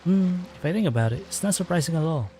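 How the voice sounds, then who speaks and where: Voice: medium pitch, expressive, fast, dark tone, a little hiss or hum. A game streamer casually commenting on a story quest, musing and reacting aloud as they play.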